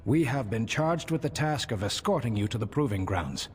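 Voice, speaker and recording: Husky dwarven guard, echoing hall. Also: male voice, mid-range voice, lively intonation, moderate pace. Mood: formal, dutiful. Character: dutiful male dwarven guard escort, formal. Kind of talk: video game acting. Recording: long hall reverb, music behind.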